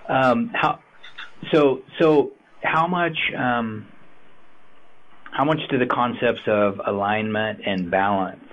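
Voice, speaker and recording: A man's voice: medium pitch, takes it slowly, lo-fi sound. A man interviewing someone conversationally, feeling his way into questions with tentative restarts.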